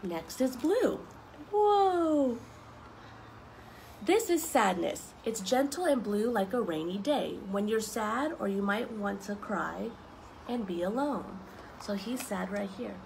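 A young woman reading picture books aloud to children, expressive and explaining things simply as she goes. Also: mid-range voice, slow, gravelly, slight background noise, low-quality recording. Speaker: story time reader for children. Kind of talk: children's picture book read-aloud with asides. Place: outdoors on a wooden deck among trees.

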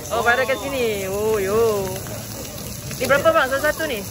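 A man's voice: very high voice, background noise. A Malaysian man vlogging in casual, playful chat at a crowded outdoor market, filmed on a handheld camera. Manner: chatty, playful, quick asides. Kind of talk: casual chat while filming. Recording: busy crowd behind.

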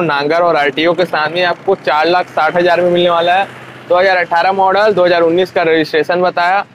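A young man from India, giving an informal, repetitive and explanatory sales walkaround as a vlogger. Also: sits high. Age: young adult. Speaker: vlogger presenting used tractors. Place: outdoors at a roadside tractor dealership.

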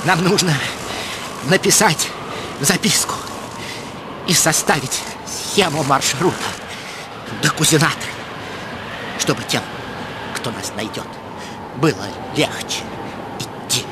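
A man voicing an earnest, philosophizing animal dreamer in a children's cartoon, with lofty, pleading, drawn-out phrasing. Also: on the high side, noisy background. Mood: exhausted, determined.

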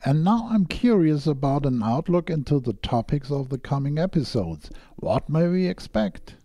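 A man's voice: fairly high pitch, sing-song, moderate pace, mellow, dry recording, clean studio sound. A man working as a podcast interviewer, speaking in a prepared, scripted style.

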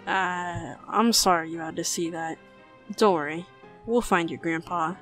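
A woman voicing a meek, kindly young woman in a cartoon dub, gentle, hesitant and apologetic. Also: on the low side, measured pace, faint music behind. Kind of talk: animated web comic dub acting.

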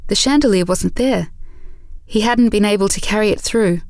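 A woman's voice: mid-range voice, normal speed, a little mellow, dry recording, studio quality. A woman narrator reading scripted prose for an audio drama.

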